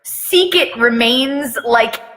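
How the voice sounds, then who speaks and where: A woman's voice: on the high side, takes it slowly, fairly bright tone, long reverb tail. A woman voicing a sneering, whiny, foul-mouthed teenage schoolboy in a dramatic story reading. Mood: sneering, defiant. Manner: whiny, scoffing.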